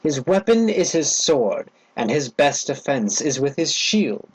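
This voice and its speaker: A man giving a dramatic, theatrical reading of a game manual as narrator, enunciating deliberately. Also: medium pitch, sing-song, slightly rough, poor audio.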